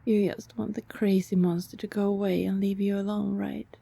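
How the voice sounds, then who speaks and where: A woman playing a lovelorn, anxious vampire neighbor in an ASMR roleplay, pleading haltingly and often slipping into breathy whispers. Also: medium pitch, flat delivery, dark tone. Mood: tender, hesitant.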